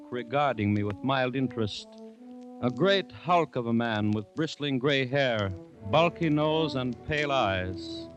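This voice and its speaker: A man's voice: medium pitch, natural voice. A man as an earnest, formal district commissioner. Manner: urgent, measured, formal, dramatic. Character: earnest, alarmed male colonial district commissioner. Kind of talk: 1940s radio drama acting and narration. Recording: restored 1940s radio recording, music behind.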